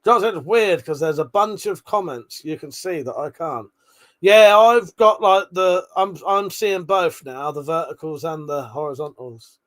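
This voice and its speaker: A man with a British accent and a voice a little on the high side hosts a casual livestream. He rambles informally, swears freely, and moves between reading comments aloud and replying to them. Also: unhurried, fairly bright tone. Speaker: livestream host.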